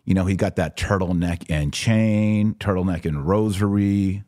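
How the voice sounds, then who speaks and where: Deep voiced guy doing casual podcast commentary, slangy, leans hard on key phrases and repeats them. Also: a little slow, dark tone.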